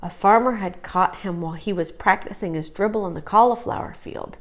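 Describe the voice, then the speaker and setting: A woman reads a children's picture book aloud as a storyteller. Her voice sits at a middle pitch, and she delivers the scripted tale expressively. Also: a little fast. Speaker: storybook reader.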